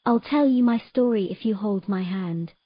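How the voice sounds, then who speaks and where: A woman's voice: medium pitch, moderate pace, no echo, dull audio, lightly acted. A woman playing a troubled, earnest young mermaid in a romantic fantasy audio drama. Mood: hesitant, pleading.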